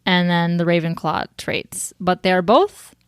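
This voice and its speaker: A woman co-hosting a podcast in casual chat, talkative and quick to laugh, with blunt swearing. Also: fairly low pitch, fairly even intonation, clear, resonant tone, dry recording, studio quality. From Michigan, US. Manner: chatty, swearing, laughs often. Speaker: podcast co-host.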